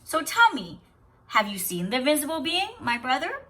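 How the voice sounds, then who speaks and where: A woman's voice: high voice, very animated, moderate pace, sharp tone, pitched above the performer's own voice, full character voice. A woman voicing a stern, testing sister who guards her brother in a storybook. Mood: challenging. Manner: firm, challenging, commanding.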